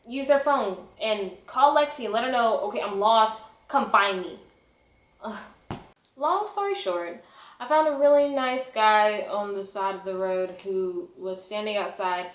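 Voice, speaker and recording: A young woman telling a personal story in a casual vlog style, with a dramatic, emphatic, self-mocking delivery. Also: medium pitch. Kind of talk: animated casual storytelling to camera. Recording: echoey, roomy sound.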